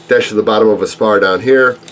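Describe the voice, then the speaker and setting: Low-voiced man with a stuffy cold. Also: fast talker, a little hiss or hum. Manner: rambling, self-correcting, folksy. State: congested from a cold. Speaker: RC plane hobbyist and channel host. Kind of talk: casual workshop vlog chat. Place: home workshop, indoors. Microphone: handheld camera held close.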